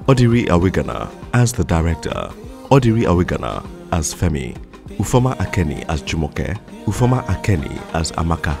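A man's voice: deep voice, creaky. A man reading scripted credits as an announcer.

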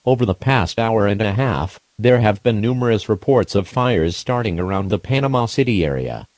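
A man's voice: lowish voice, darkish tone, dry recording. A man's formal, impersonal synthetic voice reading a scripted emergency alert announcement.

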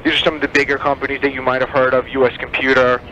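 A man working as a telemarketer, giving a sales pitch over a phone line. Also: little pitch movement, racing, bright, forceful tone. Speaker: telemarketer selling advertising. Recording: dull phone line, faint noise.

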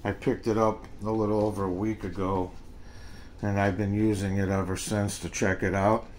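An older man with an American accent gives a casual, unscripted product review in a halting, plain-spoken way. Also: on the low side, little pitch movement, a little mellow. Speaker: gadget reviewer. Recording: noisy amateur home recording.

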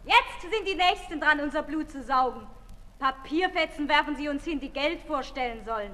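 Bitter mob woman, high sharp voice, old radio drama, hall reverb. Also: expressive, normal speed. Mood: bitter, jeering. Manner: scornful, coarse. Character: embittered, jeering poor woman of the revolutionary mob. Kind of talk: 1960s German radio play acting. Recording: reverberant 1960s radio recording.

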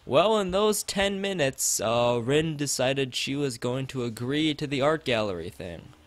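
A man's voice: medium pitch, animated, unhurried, no echo. A man live streaming a visual novel, reading its text aloud and drifting into rambling asides to the chat. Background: background game music.

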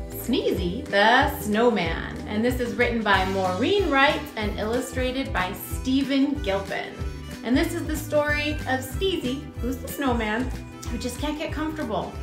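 A woman in her thirties giving an expressive children's picture-book read-aloud, drawing out words for emphasis. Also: high voice. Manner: expressive, slow, drawn-out emphasis. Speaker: children's storybook reader.

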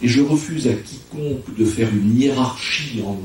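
A man's voice: mid-range voice, moderate pace, darkish tone, far from the mic. A man in his fifties from Brittany giving an earnest public talk at a microphone in a hall. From Brittany, France. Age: 50s. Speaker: lecturer. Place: conference hall, on stage. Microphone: microphone in front. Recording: very echoey hall, faint noise.

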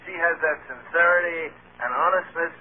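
An actor playing an eager, insistent film star, excitable and repetitive, in vintage radio drama style on a tinny old broadcast. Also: male voice, a little high, moderate pace, slightly rough. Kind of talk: 1930s-40s radio drama acting.

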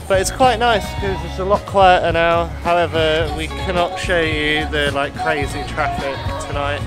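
A man in his thirties chatting casually to a camera at arm's length as a travel vlogger on city streets at night. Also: a little high. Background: music, street and vehicle sounds.

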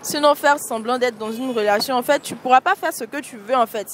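Young woman chatting casually into a handheld mic at night, highish voice, traffic faint behind. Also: brisk. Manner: casual, repeats points with "en fait". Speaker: street interviewee. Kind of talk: vox pop answer. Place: city street at night beside traffic. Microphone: handheld mic held by interviewer.

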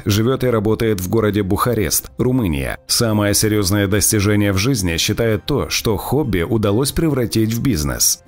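Мужчина с низким голосом размеренно читает закадровое представление гостя. На фоне тихо играет музыка. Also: male voice, fairly low pitch. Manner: measured announcer reading. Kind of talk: scripted voice-over introduction. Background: music.

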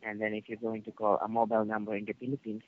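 A man's voice: fairly low pitch, completely flat delivery, rapid-fire. A man working as a telephone service agent, polite and formal, following a customer service script on a call. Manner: polite, formal, constantly says sir. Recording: muffled phone call with slight noise.

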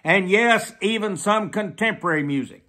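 An elderly man in his seventies preaching a solemn warning, deliberate, repeating phrases for emphasis. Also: high voice, animated, pushed and bright. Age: 70s. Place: small plain room indoors.

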